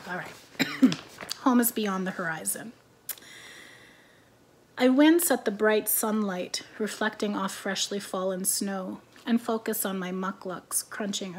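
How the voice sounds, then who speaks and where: A woman in her forties, whose first language is French, introduces and reads her own lyric essays in a calm, measured voice from her living room, her phrase ends trailing off quietly. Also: mid-range voice, slight background noise. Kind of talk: reading lyric essays aloud. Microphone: laptop camera, webcam framing.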